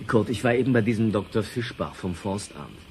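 Low-voiced inquisitive newspaper reporter. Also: male voice, expressive, a little fast, a little mellow, slight background noise. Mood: agitated, earnest. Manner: probing, polite. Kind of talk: German radio drama acting.

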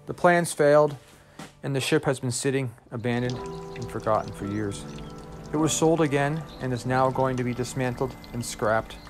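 A man giving a measured, scripted narration of facts. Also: mid-range voice. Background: guitar music.

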